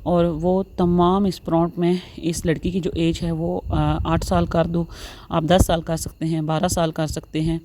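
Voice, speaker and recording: A woman's voice: fairly low pitch, little pitch movement, a little slow, mellow, a little hiss or hum. A woman narrating a step-by-step tutorial, mixing English words into her speech and repeating her instructions. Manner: repetitive instructional phrasing with English words mixed in. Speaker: tutorial host.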